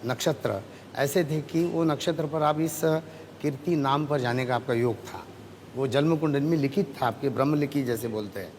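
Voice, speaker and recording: A man working as an astrologer on a talk show, speaking in measured, formal Hindi as he reads fortunes. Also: medium pitch, darkish tone, big-room sound, a little hiss or hum. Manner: measured, pronouncing with formal Sanskrit-heavy Hindi. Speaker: astrologer and face reader. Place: television studio with audience.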